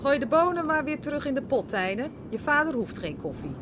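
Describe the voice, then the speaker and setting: High-voiced, brisk village mother. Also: sing-song, background noise. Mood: homely, chiding. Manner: homely, assertive, chiding. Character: brisk, thrifty fishing-village mother and housewife. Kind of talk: Dutch radio drama acting.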